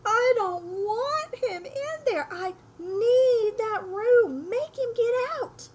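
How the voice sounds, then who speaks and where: A woman voicing a spoiled boy from a storybook, bawling and whining in a loud tantrum. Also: extremely high pitch, lively intonation, slight background noise, voice pushed up, heavily acted. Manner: wailing, drawn-out whining.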